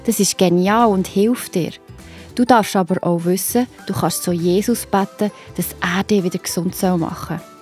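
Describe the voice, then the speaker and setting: Female children's storyteller: Swiss German accent, soft dark tone, encouraging direct address, light music bed. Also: medium pitch, steady tone, moderate pace. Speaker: narrator.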